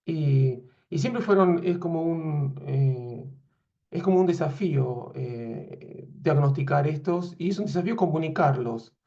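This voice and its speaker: A man's voice: a little high, measured pace, creaky, dark tone, dull audio. A man in his forties from Argentina with an Argentine accent, giving a veterinary imaging lecture over a video call, hesitant with many fillers and restarts. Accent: Argentine Spanish. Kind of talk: webinar lecture.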